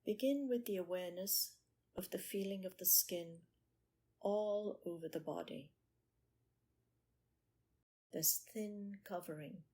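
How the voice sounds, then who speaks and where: Slow, husky woman guiding meditation. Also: mid-range voice, warm tone. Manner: measured, instructional, with pauses between short phrases. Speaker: meditation teacher. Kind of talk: guided meditation.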